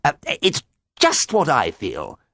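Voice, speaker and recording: A storybook gentleman farmer, pitched high and swinging wildly. He wails and stammers with overwrought, melodramatic feeling, in a close, dry recording. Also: huge pitch swings, normal speed, breathy edge, no room sound, heavily acted. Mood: gushing delight. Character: melodramatic, ruined gentleman farmer, sobbing and easily lovestruck.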